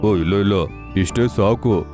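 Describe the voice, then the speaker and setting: Casting: man for a modest, kindly forest creature, fairly low register, cartoon with music under. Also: measured pace, close to an everyday voice. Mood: good-natured. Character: modest, good-natured forest animal. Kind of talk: cartoon story voice acting.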